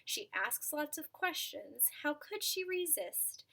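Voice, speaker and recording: A woman narrates a children's storybook in a high, bright voice. Her pacing is slow and her intonation swings widely, with dramatic asides to young listeners. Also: very animated, vocal fry.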